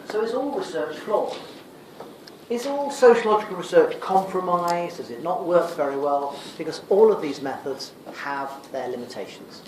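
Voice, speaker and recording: A man with a high voice delivers a revision lecture in a didactic style, often putting a question and then answering it himself. He is recorded from a distance in a very reverberant lecture room, with clear background noise. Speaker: sociology lecturer. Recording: echoey room, distant mic, noisy.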